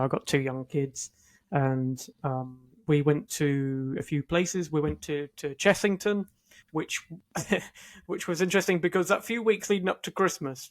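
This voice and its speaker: A British man co-hosting a podcast, talking in a chatty, informal, conversational way. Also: on the high side. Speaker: podcast co-host. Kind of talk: casual conversational chat.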